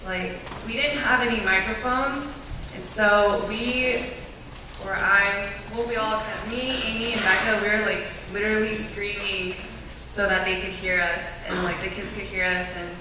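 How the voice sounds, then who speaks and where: A young woman from a church youth group telling mission trip stories in a chatty, animated way, with many filler words. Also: mid-range voice, normal speed. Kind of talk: informal testimony to a church group. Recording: echoey room, distant mic, clear background noise.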